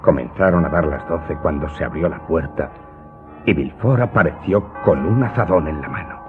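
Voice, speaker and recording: A deep-voiced, vengeful Corsican manservant confesses to his master in a radio drama. He recounts his tale slowly and gravely, with emphatic flares of passion. The sound is muffled and lo-fi, with prominent music beneath his words. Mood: tense recounting. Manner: deliberate, dramatic storytelling with emphatic outbursts. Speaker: voice actor in an audio drama. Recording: dull, muffled audio with loud music underneath.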